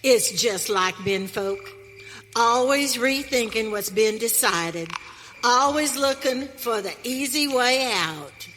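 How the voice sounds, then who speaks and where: A woman's voice: fairly high pitch, a bit bright, soft background music. A woman playing a feisty pioneer wife in a western radio play, with an American accent and folksy, emphatic frontier speech. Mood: scornful. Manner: folksy frontier dialect, emphatic, drawn-out delivery. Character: Maggie, feisty pioneer wife on a wagon train. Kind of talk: live western radio theater acting. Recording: hall reverb, faint noise.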